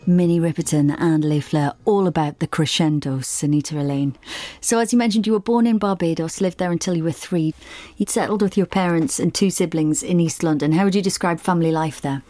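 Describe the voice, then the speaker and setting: Low, mellow woman radio interviewer. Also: fairly even intonation, brisk, no room sound.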